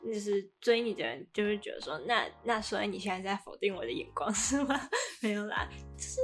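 Female podcast co-host, Taiwanese Mandarin accent, mid pitch, conversational explainer, music bed underneath. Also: lively intonation, brisk.

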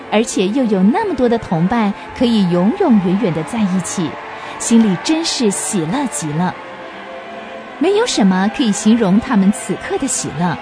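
A woman narrating a radio drama as a storyteller, reading from a script. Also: fairly high pitch.

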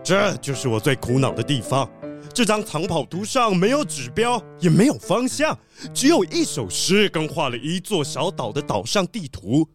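Man as an excitable, high-pitched treasure collector. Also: moderate pace, faint music behind. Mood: earnest, fretful. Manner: fussy, dramatic. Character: excitable, fretful male treasure collector leading an expedition. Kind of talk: children's audio drama acting.

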